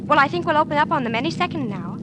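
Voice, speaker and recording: A woman's voice: high-pitched, animated, rapid-fire. An actress playing a naive, blithely cheerful rich young girl in a 1940s radio drama. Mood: thrilled. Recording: vintage 1940s radio broadcast, noisy background.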